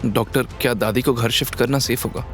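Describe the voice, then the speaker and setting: A man's voice: medium pitch, fairly even intonation, brisk, fairly bright tone, faint music behind, close to an everyday voice. A man voicing an anxious, protective young woman in an audio drama, with an urgent, firm manner. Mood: concerned. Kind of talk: Hindi audio drama acting.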